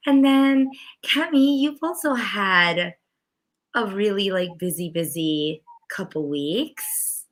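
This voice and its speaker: A woman with a raspy, hoarse voice that has nearly given out, chatting casually as co-host of a livestreamed TV recap show. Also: mid-range voice, expressive, slow pace, low-quality recording. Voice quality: raspy, strained voice. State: hoarse from losing her voice.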